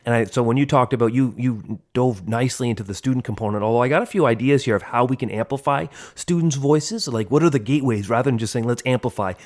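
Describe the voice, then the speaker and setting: A man's voice: mid-range voice, quick pace, few pauses. A man in his forties co-hosting a teachers' podcast, speaking in an earnest, discursive way and often rewording himself as he reflects. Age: 40s.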